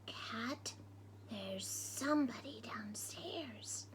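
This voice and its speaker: A woman voicing a mild-mannered man burglar in a storybook reading, speaking quietly. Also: on the high side, extremely slow, in a whisper. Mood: hushed.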